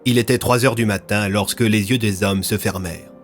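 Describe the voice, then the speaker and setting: A man's voice: fairly low pitch, steady tone, fairly quick. A man narrating a scripted audio fiction as a storyteller. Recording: music under the voice.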